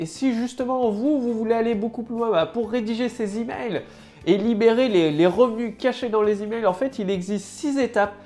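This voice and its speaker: A woman's voice: mid-range voice, mellow. A woman with a head cold giving a casual marketing tutorial to camera, loose and full of fillers and restarts. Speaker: online marketing coach.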